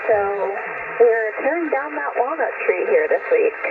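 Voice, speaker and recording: A woman's voice: fairly high pitch, very animated, normal speed. A woman chatting casually over a ham radio transmission. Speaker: amateur radio operator. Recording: tinny, very noisy shortwave radio reception.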